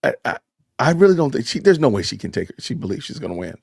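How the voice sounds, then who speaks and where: Excitable male stream host, lively pitch, dry mic, casual chat. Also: quick pace, vocal fry, husky, no echo. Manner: excitable, exclaims loudly. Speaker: livestream host.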